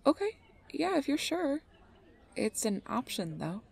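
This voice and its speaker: A woman's voice: high voice, sing-song, a little slow, vocal fry, darkish tone, exaggerated voice. A woman playing an obsessive, sweet-talking fey lover in an ASMR roleplay, speaking intimately and often breathily. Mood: gently concerned. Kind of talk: ASMR roleplay, close personal attention.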